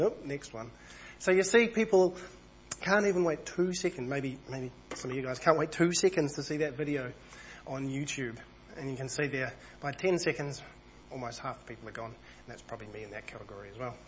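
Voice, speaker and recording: Husky guy with a cold giving a chatty evening sermon, slightly high voice, dull muffled sound. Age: over 35. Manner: conversational, reads passages aloud, small asides. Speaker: preacher.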